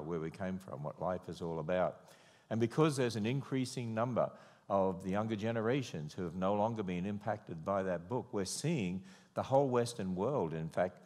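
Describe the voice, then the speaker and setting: An older Australian man delivers a sermon from a church podium, his fairly low voice carrying a long hall reverb. He tells stories wryly and lifts his voice when mimicking others. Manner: wry, animated storyteller, mock-quoting others in raised voice. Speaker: conference speaker. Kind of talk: sermon with storytelling. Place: large church auditorium. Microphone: podium microphone.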